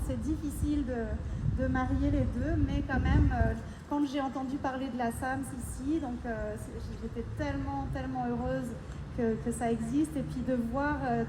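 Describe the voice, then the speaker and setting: French-accented woman musician, high voice, talking to an audience, hesitant, echoey live sound with noise behind. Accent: French (France). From France. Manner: warm, halting, many fillers and restarts. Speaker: musician addressing a concert audience. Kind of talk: spoken address between pieces at a concert. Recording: echoey live concert recording with background noise.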